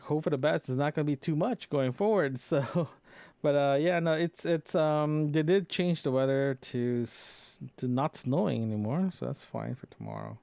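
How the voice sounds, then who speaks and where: A man recording a casual, unscripted solo audio log, talking in a rambling, halting way and often rephrasing himself. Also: fairly high pitch, vocal fry, a little mellow, no room sound. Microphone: close microphone into a recorder, compressed.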